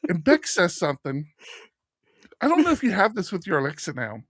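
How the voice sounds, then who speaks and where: Gravelly-voiced man in his fifties, animated. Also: sits high, fairly quick, dry recording, studio quality. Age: 50s. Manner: animated storyteller, acts out quoted voices. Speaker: podcast co-host. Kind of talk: casual chat.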